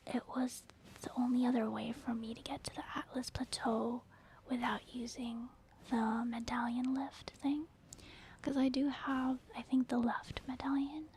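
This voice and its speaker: A woman whispering softly and breathily in a casual, rambling ASMR commentary over video gameplay. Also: fairly high pitch, flat delivery, measured pace, slight background noise. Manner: casual, rambling, gentle explaining. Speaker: gaming ASMR video host.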